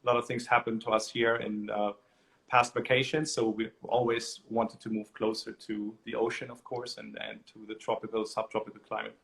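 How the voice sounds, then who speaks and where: A man, a guest business owner, speaking in a relaxed, plain way during a casual interview. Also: fairly low pitch, normal speed, vocal fry.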